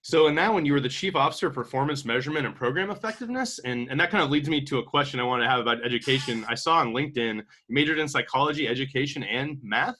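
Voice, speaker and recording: Male podcast host, quick and bright, casual questions with fillers. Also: mid-range voice. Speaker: interview host. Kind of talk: interview questions.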